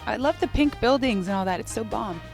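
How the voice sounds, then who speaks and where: A young woman chatting as a guest on a poker webcast, bubbly and quick to giggle. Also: fairly high pitch, animated, creaky, soft background music. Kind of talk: casual chat.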